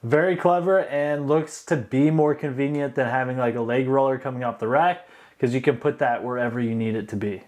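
A man's voice: medium pitch, steady tone, brisk, a little mellow. Young man, channel host, upbeat reactions, headset mic. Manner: enthusiastic, upbeat asides. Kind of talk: reaction commentary.

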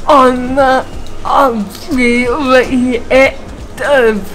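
A young woman, speaking slowly and haltingly with many restarts in an interview at a gym. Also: sits high, steady tone, a bit bright. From New Zealand. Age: young adult. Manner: slow, halting, effortful speech with many restarts. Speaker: trainee personal trainer. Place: gym, indoors.

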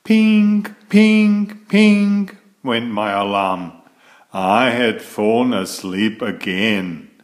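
A man reading a children's picture book aloud, raising his pitch for the girl's lines. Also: animated, extremely slow.

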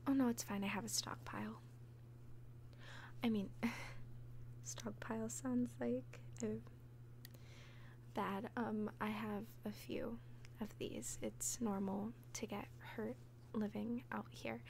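A woman playing an obsessive, falsely gentle young caretaker in an ASMR roleplay, speaking softly and breathily with tender, halting hesitation. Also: fairly high pitch, fairly even intonation, slight background noise. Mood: gentle, nervous. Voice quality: soft, breathy whisper. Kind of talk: whispered ASMR roleplay, personal attention.

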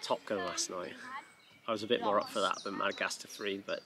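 A man in his thirties talking casually to a handheld camera while camping, relaxed and chatty with plenty of ums. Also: lowish voice, a little fast, crowd noise. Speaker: family vlogger. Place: outdoors on a campsite field. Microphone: camera at arm's length. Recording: noisy outdoor recording.

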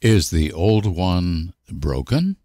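A man reading short example sentences for language learners with deliberate, careful enunciation. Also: deep voice, very animated, mellow, dry recording, clean studio sound. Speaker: language teacher.

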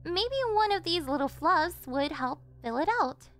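A woman's voice: high voice, lively intonation, a little slow, fairly bright tone, soft background music. An actress playing a clingy, possessive delinquent girlfriend in an ASMR roleplay, sweet and doting with a menacing edge. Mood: excited, coaxing. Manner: doting, pleading, swinging into threats.

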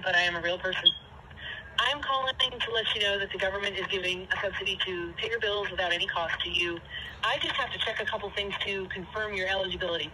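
A woman telemarketer making a scripted sales call, polite and formulaic. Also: mid-range voice. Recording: noisy telephone call.

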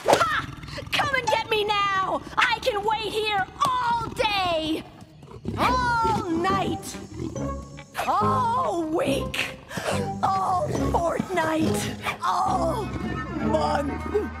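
A woman's voice: very high-pitched, extremely slow, exaggerated voice. A woman playing a plucky, easily exasperated young female jungle scientist in a cartoon, muttering to herself and exclaiming melodramatically. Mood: gloating. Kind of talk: animated cartoon comedy acting. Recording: cartoon music behind the voice.

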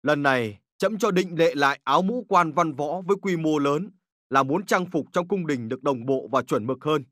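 A man voicing a dignified, exacting but gracious emperor in a historical cartoon, formal and deliberate in his authority. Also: on the high side, a bit bright, no echo, clean studio sound, close to an everyday voice. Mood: stately.

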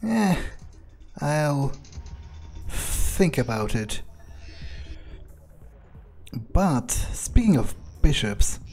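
A man improvising in character as he plays a game, pausing often mid-sentence. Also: a little high, warm tone. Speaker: gamer playing in character. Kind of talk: improvised in-character roleplay commentary. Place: voice-over on game footage, faint game music behind.

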